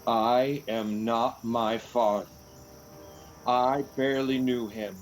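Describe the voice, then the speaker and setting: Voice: medium pitch, fairly even intonation, slow, slight background noise, close to an everyday voice. A performer playing an unsure, earnest young male knight in a fantasy radio play. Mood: earnest, pleading. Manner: hesitant, earnest.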